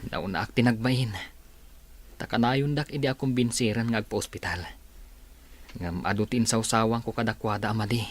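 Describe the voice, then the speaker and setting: A man's voice: on the low side, moderate pace, pauses often, close to an everyday voice. A man acting as a humble, reflective sick employer in an Ilocano radio drama, speaking earnestly.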